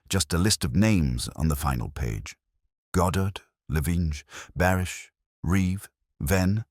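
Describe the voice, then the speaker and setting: Very deep, brooding detective played by a man. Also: no room sound, clean studio sound, full character voice. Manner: solemn, literary, deliberate phrasing. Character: brooding, measured male detective inspector narrating his case. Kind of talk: first-person scripted mystery narration, audiobook-like.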